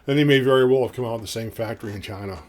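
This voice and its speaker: A man in his fifties with a low voice, reviewing a guitar in casual, rambling talk full of ums, recorded in a small home studio. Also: very fast. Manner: rambling, folksy, many ums and uhs.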